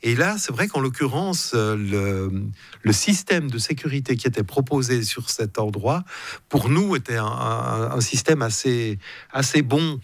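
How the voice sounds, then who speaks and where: Middle-aged man, mid-range pitch, halting, careful radio guest. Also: animated, no echo. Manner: measured, hesitant, many fillers and restarts. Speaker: council president interviewed as guest. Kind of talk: radio interview.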